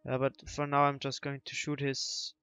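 A man's voice: medium pitch, completely flat delivery, moderate pace, fairly bright tone, clean tone, no room sound. A young man chatting casually over strategy gameplay on a headset, often hesitating and correcting himself with mild grumbling. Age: young adult. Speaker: gamer commentating co-op strategy play. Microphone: headset microphone. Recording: slightly dull, dry headset audio.

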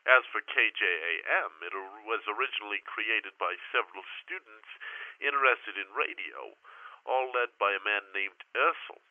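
A man acting as an earnest historian in a golden-age style radio play speaks in a lowish voice down a thin telephone line. He is formal and slightly hesitant, telling his tale like folklore, with a very dry sound. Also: no room sound. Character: earnest male historian phoning in a strange tale. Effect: telephone line filter. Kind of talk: audio drama in the manner of golden age radio.

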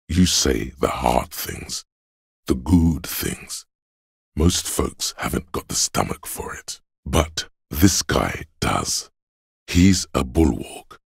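Game casting: grandiose, sardonic inner voice of endurance, slow and gravel-throated, very dry close sound. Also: male voice, no echo, full character voice. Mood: solemn, ominous. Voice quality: very deep, gravelly, husky rasp. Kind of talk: video game voice acting.

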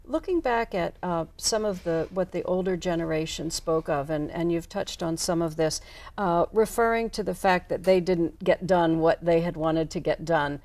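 A woman's voice: lowish voice, moderate pace, a little mellow. A woman hosting a studio interview, asking long questions and agreeing often with short murmurs. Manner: frequent affirming murmurs. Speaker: host.

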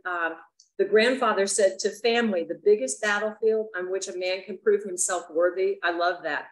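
A woman's voice: medium pitch, reverberant, poor audio. An older woman on a video call from her living room, speaking earnestly and thoughtfully. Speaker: discussion participant. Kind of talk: casual chat on a video call.

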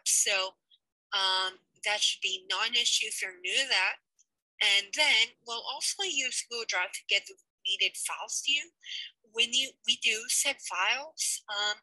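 A woman's voice: mid-range voice, sharp tone, no echo, rough recording. A young American woman leading a fan project, chatting casually over a headset in a small room with loose fillers. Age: young adult. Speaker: project lead of a fan dub. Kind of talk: casual video call update.

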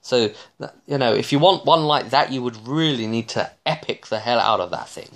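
A man's voice: medium pitch, expressive, fairly bright tone. Male, 30s. Game master chatting through a session recap, mid-range voice, stop-start and rambling delivery. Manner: halting, trailing off mid-thought. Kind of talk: casual rambling video recap. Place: small domestic room indoors.

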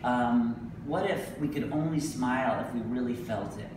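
Middle-aged man, live poetry reading, slow emphatic delivery, medium pitch, noisy far-off recording. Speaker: poet. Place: indoor venue with a staircase, live reading. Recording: echoey room from a distance, noisy.

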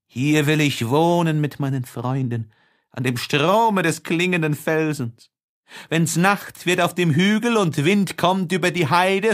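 A man reading the part of a grieving young woman in a slow, declamatory lament, as in an audiobook of old literature. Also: on the high side, pitched above the performer's own voice. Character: grieving young woman mourning slain lover and brother.

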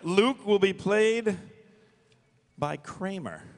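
A man moderates a live stage panel. He speaks in a high register at an unhurried pace, announcing the cast into a table microphone. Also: animated.

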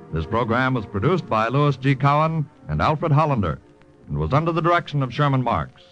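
A male announcer delivers scripted vintage radio copy at a middle pitch. The old recording is dull and narrow, with faint music behind him. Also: a bit bright. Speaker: radio announcer reading credits and promos.

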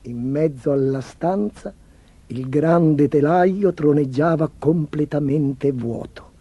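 Guy reading a fairy tale, expressive storyteller, highish soft husky voice, dry sound. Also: slow pace, no room sound. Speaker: narrator. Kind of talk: scripted storybook narration.

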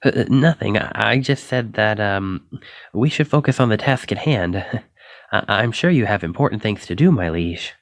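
A man's voice: fairly low pitch, animated, normal speed, dry recording, clean studio sound, natural voice. A man acting a shy, flustered, self-doubting young knight in an ASMR roleplay, stammering and hesitant as he apologizes. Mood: bashful.

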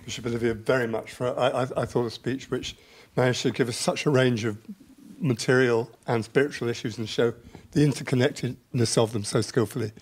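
A man's voice: medium pitch, steady tone, fairly quick, slightly rough. A man hosting a college lecture, thanking the speaker and opening questions in a polite, hesitant way. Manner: hesitant, polite, with fillers and restarts. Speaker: event host.

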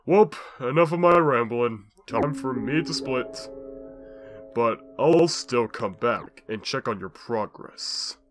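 Swooping, taunting skeleton destroyer. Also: male voice, medium pitch, animated, slow pace, soft background music. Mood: sneering, smug. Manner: drawling, mocking, chuckling delivery. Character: taunting male glitchy skeleton destroyer, mocking, smug, unhinged. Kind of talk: theatrical comic dub acting.

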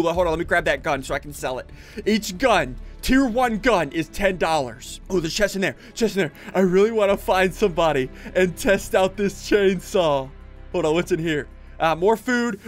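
A young man giving excitable game commentary to his viewers, laughing often, on a headset mic at his desk at home. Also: high-pitched. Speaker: gaming YouTuber.

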